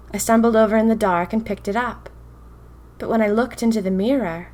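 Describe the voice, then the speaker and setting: A woman's voice: medium pitch, lightly acted. A woman playing a whimsical, chatty, easily spooked 1920s diarist in a theatrical, wry audio drama narration. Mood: frightened. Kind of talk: dramatic first-person diary narration.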